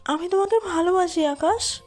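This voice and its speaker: Casting: actress as clingy, lovesick young woman for romantic audio drama; extremely high voice, plaintive pleading, music behind. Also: normal speed. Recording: voice over a music bed.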